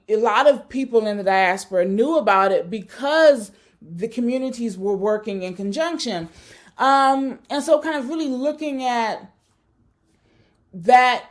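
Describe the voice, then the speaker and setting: A woman with a fairly high voice speaks in a reflective, searching way. She often pauses with fillers and corrects herself mid-sentence as she works through her ideas in an academic talk. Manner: thoughtful, searching, many fillers and self-corrections.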